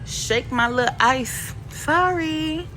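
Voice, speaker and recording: A woman's voice: high voice, takes it slowly, bright, forceful tone, a little hiss or hum, rough recording. A woman with a stuffy, sniffly cold, chatting playfully in slang as she narrates a shopping vlog from home. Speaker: vlogger. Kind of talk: casual shopping vlog voiceover. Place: home, recorded voiceover.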